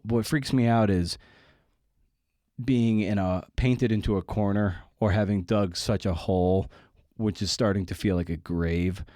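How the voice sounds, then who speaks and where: A man's voice: fairly low pitch, darkish tone, no room sound, studio quality. A man hosting a podcast, talking informally in a candid, self-deprecating way. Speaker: podcast host.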